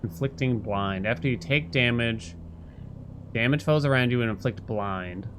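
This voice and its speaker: A man's voice: medium pitch, moderate pace, a bit bright, slight background noise. A man giving casual live gameplay commentary, thinking aloud, chatting with viewers and reading bits of on-screen text. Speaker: game streamer talking to live chat. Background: game music.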